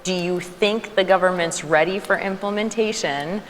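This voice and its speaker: A woman with an unusually low voice moderates a panel and poses questions, stumbling lightly over her words. Long hall reverb and faint ambience surround her. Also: normal speed, a little hiss or hum. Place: conference hall.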